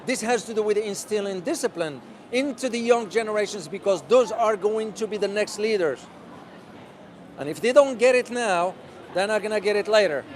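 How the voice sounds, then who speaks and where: A man vlogging as he walks a city street outdoors, talking loudly and passionately while answering viewers' questions. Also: sits high, moderate pace. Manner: loud and passionate. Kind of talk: casual walking vlog answering live questions. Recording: crowded outdoor street noise. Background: street crowd.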